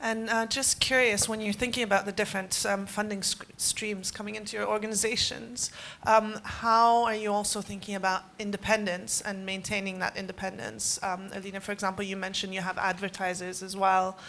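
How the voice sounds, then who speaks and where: Flat-voiced woman from France asking. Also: medium pitch, a little hiss or hum, rough recording. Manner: curious, measured. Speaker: audience member asking a question.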